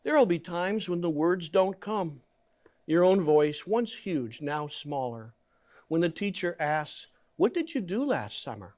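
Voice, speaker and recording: A man reading a children's picture book aloud in a gentle, deliberate storytelling manner, leaning on key words. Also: fairly high pitch, big pitch swings. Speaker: guest story reader.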